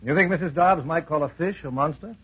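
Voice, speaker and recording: An actor playing a composed, bold investigator in a vintage radio drama, probing with measured questions and reassurance. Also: male voice, fairly high pitch, husky. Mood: brisk, curious. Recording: tinny, narrow-band old radio recording.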